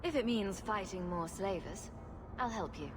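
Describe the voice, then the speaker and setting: An actress playing an unflappable, sarcastic young adventurer in a video game, with a dry, sardonic delivery. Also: female voice, mid-range voice, animated, moderate pace, noisy background, close to an everyday voice. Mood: dry, composed.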